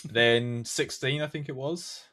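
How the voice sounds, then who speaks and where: A man's voice: mid-range voice, fairly even intonation, a little slow, fairly bright tone. A man of nineteen, a podcast guest who creates game maps, chatting casually and rambling with many fillers and restarts. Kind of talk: casual chat.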